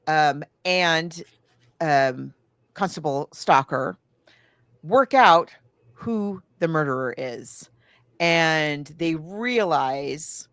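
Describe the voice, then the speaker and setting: Woman, slightly low voice, dry close sound, casual podcast recap, halting, backtracking, conversational storyteller. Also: no room sound. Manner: halting, backtracking, thinking aloud as she retells; acts out characters' lines in casual asides. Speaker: podcast co-host. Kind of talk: casual film recap chat.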